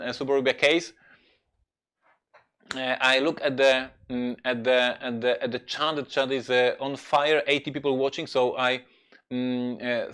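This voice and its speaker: A man who is not a native English speaker, a game designer telling anecdotes on a live stream in a halting, emphatic way. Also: mid-range voice, fairly bright tone, clear, resonant tone. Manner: halting, emphatic storyteller with repeated words. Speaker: board game designer and presenter.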